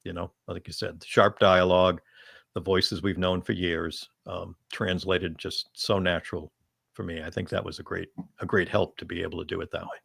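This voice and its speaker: A man moderating an unscripted panel discussion, phrasing his thoughts in a measured, hesitant way. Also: low-pitched, little pitch movement, gravelly, fairly bright tone, slightly rough, dry recording.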